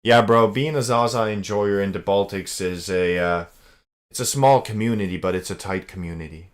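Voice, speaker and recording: A man's voice: lowish voice, normal speed. A young man from Latvia streaming casually, rambling in a laid-back way and calling everyone "bro".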